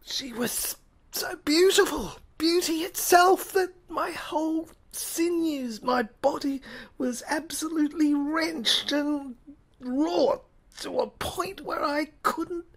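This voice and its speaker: A woman narrating a dramatic first-person story in a halting, overwrought manner, often repeating words. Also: high-pitched. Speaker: narrator reading an audio screenplay.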